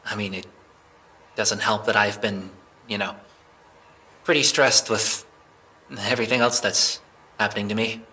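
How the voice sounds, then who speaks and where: A man's voice: fairly low pitch, steady tone, measured pace, long pauses, sharp tone, poor audio. A man playing a shaken, anxious civilian under police questioning in an amateur audio drama, speaking in hesitant, stammering answers.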